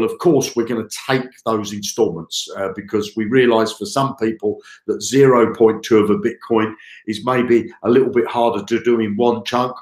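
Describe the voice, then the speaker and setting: A man with a head cold talks through a live online sales pitch, his voice croaky and stuffed up in the nose. His pitch sits in an ordinary middle range. He speaks in a chatty, repetitive way, and the room adds a noticeable echo. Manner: chatty, repeats himself and checks in with listeners. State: croaky and nasally blocked up from a cold. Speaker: presenter. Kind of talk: live-streamed sales presentation. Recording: roomy echo.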